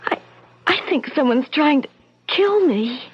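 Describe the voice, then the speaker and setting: An actress playing a frightened young woman student who speaks in a nervous, halting way, in a 1970s radio drama. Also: sits high, lively intonation. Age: young adult. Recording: muffled old radio broadcast.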